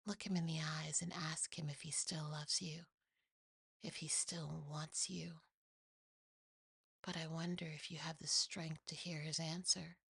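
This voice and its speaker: A woman voicing a sinister, possessive demon in a hushed, hissing, taunting way for a horror ASMR story. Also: low voice, flat delivery, vocal fry, breathy edge, no room sound, heavily acted. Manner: slow, taunting, seductively cruel.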